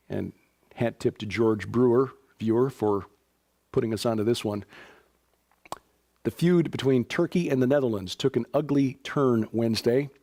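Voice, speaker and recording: A man's voice: medium pitch, a little mellow. Chatty man hosting a news show. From Chicago, USA. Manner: conversational, with fillers and dry asides. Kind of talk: casual news commentary.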